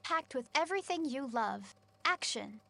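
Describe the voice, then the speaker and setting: Woman doing movie trailer narrator, theatrical, high voice, punchy phrasing. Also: animated, moderate pace, vocal fry, bright, forceful tone, full character voice. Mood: grand, promotional. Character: dramatic female trailer announcer.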